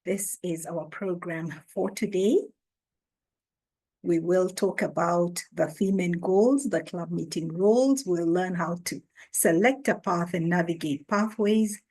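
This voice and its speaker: Woman, orientation host, slow deliberate delivery, video call audio. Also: on the low side, a little mellow. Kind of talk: online orientation presentation.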